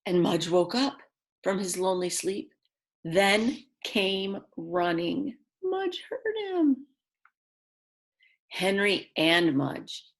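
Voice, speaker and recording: A woman's voice: mid-range voice, animated. A woman reading a picture book aloud to young children, expressively and simply, with chatty asides to her listeners. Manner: slow, simple phrasing with dramatic emphasis and chatty asides. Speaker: children's read-aloud storyteller.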